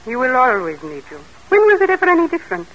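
An actress playing a caring, frank French governess and music teacher with a French accent in an old-time radio drama. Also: female voice, high-pitched, huge pitch swings, fast, highs cut off, heavily acted. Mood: concerned, chiding. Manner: gently admonishing. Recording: old radio broadcast recording.

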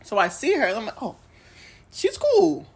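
A woman's voice: high voice, wildly swooping pitch, normal speed, gravelly, breathy edge. A woman in her twenties from Haiti, co-hosting a podcast in a laid-back, joking casual chat. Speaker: podcast co-host.

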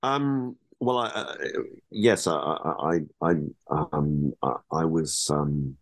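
A man's voice: extremely low pitch, takes it slowly, no echo. A man being interviewed as an author, speaking thoughtfully and hesitantly with frequent restarts. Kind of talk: academic interview.

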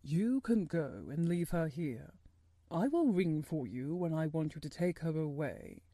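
A miserable middle-aged gentleman, acted out by a woman narrator, speaks in a very mellow, soft-edged tone that rises and falls freely. He is fretful and distracted, halting as though his thoughts are elsewhere. The storybook voice is recorded close and dry. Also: low-pitched, lively intonation, dry recording, voice pushed down. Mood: curt, weary. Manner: absent-minded, halting, worried.

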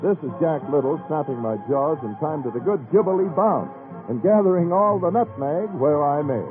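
A man's voice: on the high side, expressive, moderate pace. Theatrical American man announcing on muffled vintage radio. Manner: theatrical, playful rhyming hepcat delivery. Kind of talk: 1940s radio variety show announcing, jive patter. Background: music.

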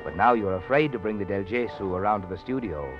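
An American actor playing a sardonic all-knowing storyteller, narrating a 1940s radio thriller in a pointed, suspenseful second person. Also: male voice, on the low side, animated, fast, lightly acted. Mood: knowing, ominous. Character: omniscient, sardonic male storyteller needling the protagonist. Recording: dull vintage radio with music bed. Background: dramatic music.

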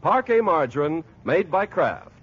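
Male 1940s American radio announcer, polished pitchman, fairly high voice, muffled vintage recording. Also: very animated, measured pace, heavy background noise. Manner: polished, emphatic salesmanlike delivery.

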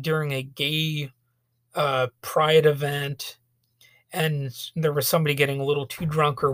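Slightly hoarse man ranting sarcastically. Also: fairly high pitch, fairly bright tone, no echo. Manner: sarcastic, ranting, with frequent fillers and restarts. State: slightly hoarse, getting over a cold. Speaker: podcast host. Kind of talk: solo opinion podcast monologue.

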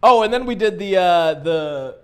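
A man's voice: sits high, expressive, fairly bright tone. A man in his thirties, a podcast guest in a studio, chatting and joking with frequent laughter. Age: 30s. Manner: laughs often, quick jokey asides. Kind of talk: casual comedic chat.

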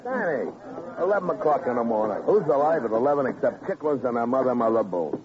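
A man's voice: medium pitch, expressive, fast, a crowd in the background, natural voice. An American actor playing a chatty, fussing, wisecracking gym man in an old radio crime drama, florid and teasing. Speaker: supporting actor. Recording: muffled old radio recording, murmur behind.

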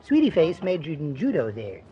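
An actor playing a meek, mild, henpecked little husband in a polite, fussy manner for a 1950s radio comedy. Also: male voice, fairly high pitch, huge pitch swings, brisk. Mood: mildly proud. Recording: dull old radio recording, faint hiss.

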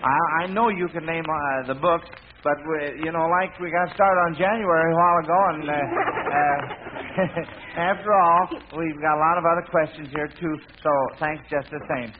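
A man's voice: fairly high pitch, fairly even intonation, moderate pace. An American man hosting a 1940s radio quiz in a folksy, hesitant, chatty manner, on a noisy, tinny old broadcast. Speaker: quiz show host.

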